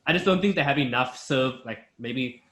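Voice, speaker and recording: A young man chats loosely on a podcast, wandering through his points with plenty of restarts. His voice sits at an ordinary pitch and carries a roomy echo off the walls. He sounds relaxed and unhurried. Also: a crowd in the background. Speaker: podcast co-host. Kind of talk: casual chat.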